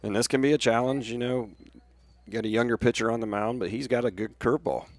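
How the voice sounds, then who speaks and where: A man's voice: mid-range voice, steady tone, fast. An American man giving conversational color commentary and analysis during a live baseball broadcast.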